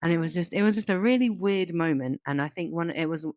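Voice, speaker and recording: A woman's voice: fairly low pitch, fast, a little mellow, no room sound, studio quality. A woman co-hosting a casual podcast, talking informally and candidly with some self-deprecation. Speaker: podcast co-host.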